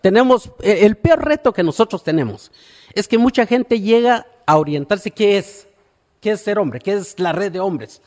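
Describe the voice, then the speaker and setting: A man wrapping up a conference presentation, pausing on fillers as he searches for words. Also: high-pitched, lively intonation, long reverb tail. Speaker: conference speaker. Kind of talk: closing remarks of a presentation.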